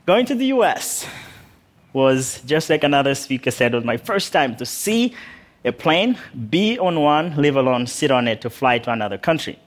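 Male speaker from Uganda, lively funny storytelling, live stage talk. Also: a little high, moderate pace. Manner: humorous, vivid storytelling. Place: stage in a large hall.

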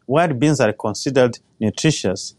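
A man, a crop scientist giving an earnest, measured talk about his own life. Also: mid-range voice, darkish tone, dry recording. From Rwanda. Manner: earnest, measured, personal storytelling. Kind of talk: prepared talk.

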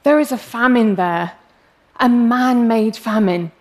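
A woman's voice: fairly high pitch, a little slow, a little mellow. A young English woman with an English accent giving a prepared public talk, passionate and wry with self-deprecating humor. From England.

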